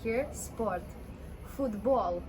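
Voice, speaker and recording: A woman with a Russian accent teaches vocabulary at a slow, patient pace, repeating each word. Her voice sits a little high, and laughter slips into her lessons. A faint hiss runs under the recording.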